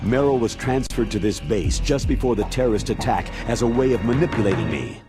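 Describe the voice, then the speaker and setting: A man's voice: medium pitch, lively intonation, fairly quick, natural voice. A man plays a burdened military commander speaking over a radio link in a game cutscene. He sounds earnest and apologetic. Music runs beneath him.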